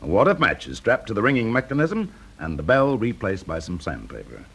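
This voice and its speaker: An actor with a British accent playing a confident, shrewd fire investigator in a radio drama, brisk, urbane and teasing. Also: male voice, lowish voice, wildly swooping pitch, a bit bright, breathy edge, full character voice. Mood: shrewd, accusing. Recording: noisy classic radio drama recording.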